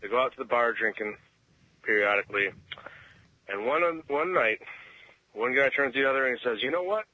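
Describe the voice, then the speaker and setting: A man calling in on a voicemail, telling a joke in deliberate short phrases. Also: mid-range voice, lively intonation, no room sound. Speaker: voicemail caller. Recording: dull lo-fi voicemail message.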